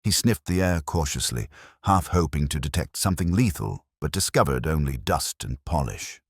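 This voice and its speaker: Guy narrating a detective story, super deep voice but lots of melody, dry wit, close dry sound. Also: expressive, moderate pace, slightly rough, no room sound. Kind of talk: scripted literary mystery narration.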